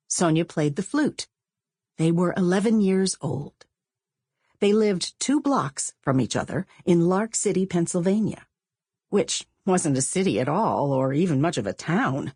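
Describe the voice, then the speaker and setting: A woman reading a novel as an audiobook narrator, with measured, expressive storytelling. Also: fairly low pitch, husky, no room sound, clean studio sound. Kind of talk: scripted literary narration.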